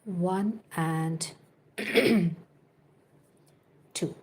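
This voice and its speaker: A woman's voice: fairly low pitch, very slow, husky. A woman hosting a live crochet tutorial, counting stitches aloud and giving patient step-by-step instructions, with chatty asides to viewers. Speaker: crochet teacher and livestream host.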